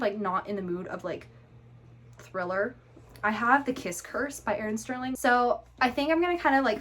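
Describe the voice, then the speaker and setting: A woman book vlogger with a hoarse, fading voice, chatting casually and rambling with lots of fillers and restarts. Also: a little high, a bit bright. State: hoarse, losing her voice. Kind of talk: casual chat.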